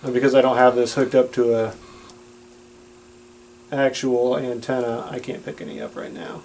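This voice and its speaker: Man, medium-pitched voice, casual product demo, plain-spoken, explanatory, faint background noise. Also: flat delivery, moderate pace, darkish tone. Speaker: product demonstrator.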